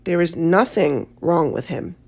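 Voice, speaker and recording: An elderly female country doctor, acted by a woman reading aloud. She is brisk and no-nonsense, speaking in a curt, matter-of-fact way. It belongs to a children's storybook tale. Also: fairly low pitch, expressive, darkish tone. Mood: grave.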